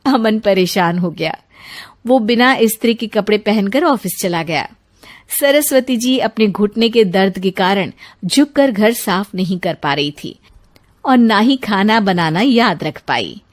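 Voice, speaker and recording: A woman's voice: medium pitch, lively intonation, unhurried. A woman reading scripted narration for a moral story as its narrator.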